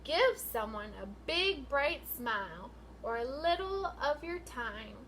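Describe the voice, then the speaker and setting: A woman's voice: high voice, big pitch swings, slow, fairly bright tone, slight background noise. A woman teacher reading a picture book aloud to young children in an instructional way, with questions and prompts.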